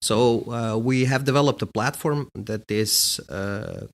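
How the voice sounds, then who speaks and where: A man's voice: mid-range voice, slow. A middle-aged man from Bosnia, a guest answering interview questions in a casual, hesitant way with many fillers. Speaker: guest, company executive.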